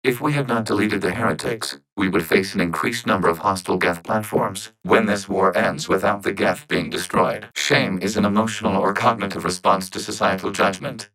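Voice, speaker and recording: Game robot, lowish voice, synthetic processing, calm, logical, formal, precise measured delivery. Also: male voice, no echo, low-quality recording. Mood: calm, factual. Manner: measured, formal, literal, clipped phrasing. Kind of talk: video game character dialogue.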